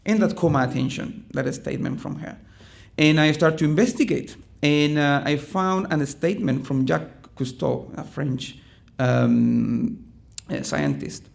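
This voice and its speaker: Man, Chilean Spanish accent, middle-aged, gravelly, somewhat high voice, halting conference talk. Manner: halting, many ums and restarts. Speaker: conference presenter. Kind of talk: conference presentation. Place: conference room.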